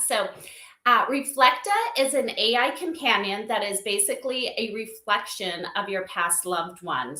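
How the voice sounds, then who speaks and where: A woman's voice: medium pitch, bright, forceful tone. A woman speaking as an interview guest, explaining her company's work in a conversational way. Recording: echoey room sound.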